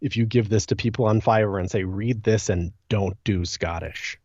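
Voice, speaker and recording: A podcast guest, a man with a fairly low voice, talks in a relaxed chat style. The recording is very dry and a little dull, with no room sound around him. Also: normal speed, no echo. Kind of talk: casual chat.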